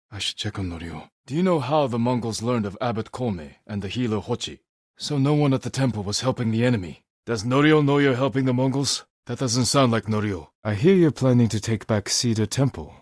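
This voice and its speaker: A man playing a stoic, honorable samurai warrior in a video game, husky and measured, with terse, solemn delivery. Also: mid-range voice, no echo, studio quality, natural voice. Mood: quiet, somber, then earnest, steady. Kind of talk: video game dramatic voice acting.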